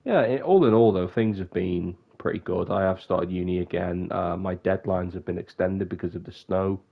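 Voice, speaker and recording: Young man, very low voice, sniffly and stuffed up, rambling stream chat, dull lo-fi mic. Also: normal speed, gravelly. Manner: rambling, self-interrupting. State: bunged up, sniffly. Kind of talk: casual live-stream chat.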